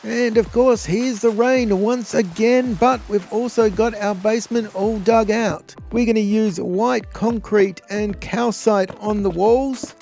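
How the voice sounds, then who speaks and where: A man with an Australian accent and a notably high voice narrates a gameplay tutorial. His delivery is informal and blokey, with a joking streak. Soft music plays underneath. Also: fairly even intonation, unhurried, vocal fry, hardly any pauses. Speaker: video host.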